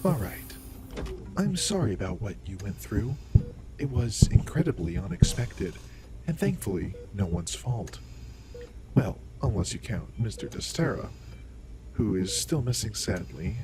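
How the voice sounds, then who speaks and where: A man's voice: on the low side, expressive, a little slow, low-quality recording. A man playing a courteous, authoritative alien doctor in an audio roleplay, speaking in a formal, explanatory way.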